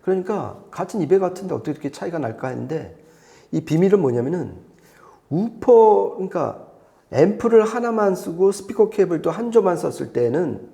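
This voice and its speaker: A man with a fairly high voice and a mellow, dark tone. He presents as a hi-fi expert in a conversational teaching style, laying things out step by step and restating key points. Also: creaky. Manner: didactic, explains step by step with repetition. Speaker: hi-fi expert presenter explaining audio setups. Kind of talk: conversational explainer.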